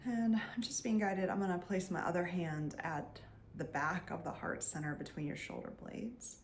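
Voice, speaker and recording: A woman leading a guided meditation in a soft, soothing voice, leaving long pauses and sometimes trailing near a whisper. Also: on the low side, a little hiss or hum. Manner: soothing, unhurried, with long pauses between phrases. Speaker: meditation guide and energy healer.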